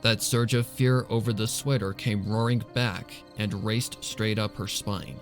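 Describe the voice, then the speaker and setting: Man narrating a story over soft music. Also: fairly low pitch, steady tone, a little slow. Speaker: fan fiction reader and narrator. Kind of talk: scripted dramatic story narration.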